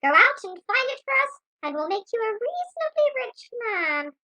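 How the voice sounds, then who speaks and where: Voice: extremely high pitch, very animated, moderate pace, pushed and bright, no echo, full character voice. An actor voicing a pompous, jaded talking mouse in an amateur audio drama. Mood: scheming. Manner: pompous, exasperated.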